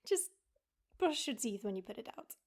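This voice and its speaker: A woman playing a worried, loving partner in an audio roleplay, with a breathy voice and a gentle, soothing way of talking. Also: a little high, sing-song, normal speed. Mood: sleepy, caring. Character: worried, loving female partner of a haunted assassin.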